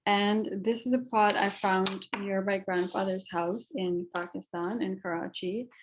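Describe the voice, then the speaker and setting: A woman's voice: mid-range voice, steady tone, unhurried, a little hiss or hum, rough recording. A woman giving a panel talk about her artwork, speaking in a reflective, personal way with frequent ums and uhs. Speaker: artist presenting on a panel.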